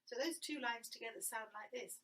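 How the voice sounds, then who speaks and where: Gentle, bright-voiced English music teacher. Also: female voice, a little high, a little fast. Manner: gentle, encouraging, sings phrases for copying. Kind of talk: children's singing lesson.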